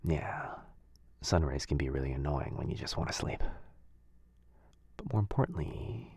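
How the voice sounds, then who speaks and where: Very husky, low-voiced gentle wizard played by a man. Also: animated, normal speed, dry recording, in a whisper, heavily acted. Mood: gently concerned. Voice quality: soft, breathy, husky. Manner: gentle, hesitant, murmuring, intimate. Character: kind, caring young male wizard, gently fussing. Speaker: audio roleplay voice performer. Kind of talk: boyfriend ASMR roleplay acting. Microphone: close, dry microphone.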